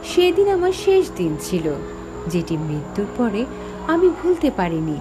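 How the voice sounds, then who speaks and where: A woman's voice: mid-range voice, big pitch swings, measured pace. A woman voicing the sorrowful ghost of a young village teacher, telling her story dramatically in a horror cartoon. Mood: wistful recounting. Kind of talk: dramatic first-person horror story narration. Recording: background music under the voice.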